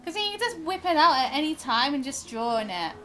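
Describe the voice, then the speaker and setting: A woman chatting casually and excitably while livestreaming a game, in a bubbly, giggly manner. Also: high voice, fast. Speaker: live streamer. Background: game music.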